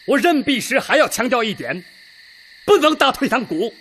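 An actor playing a resolute Red Army political leader, making an emphatic, weighty speech in a radio drama. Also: male voice, very high-pitched, sing-song, normal speed, a bit bright, slightly rough, full character voice. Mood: grave, emphatic. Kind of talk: Chinese radio drama acting.

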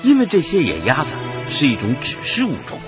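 An actor playing a genial, knowledgeable wildlife conservation official in a radio drama, explaining things in an expressive way. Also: male voice, fairly high pitch, a little fast. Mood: earnest, enthusiastic. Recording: dull radio drama with clear music behind.

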